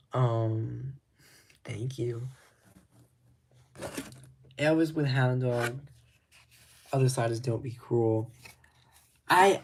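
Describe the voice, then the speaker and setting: A man talking slowly and casually while showing his records, with a slightly raspy voice. He rambles, stops to restart his thoughts, and adds lots of ums. There is clear background noise with clicks behind him. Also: mid-range voice. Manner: laid-back, rambling, many ums and restarts. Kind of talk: casual talk to camera.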